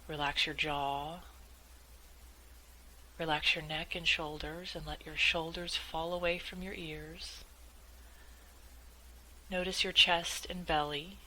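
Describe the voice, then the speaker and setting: A woman leading a guided meditation in a measured, gently instructive way, as calm spoken instruction. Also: sits low, slight background noise, poor audio.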